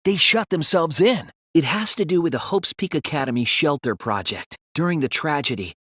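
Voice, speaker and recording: A man voicing an earnest, thoughtful teenage detective in a video game, reasoning aloud in a deliberate, resolute way. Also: fairly high pitch, animated, fairly bright tone, breathy edge, no echo, clean studio sound. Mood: grave, reasoning. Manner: deliberate, reasoning aloud, emphatic when refuting. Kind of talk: English video game voice acting, dramatic deduction scenes.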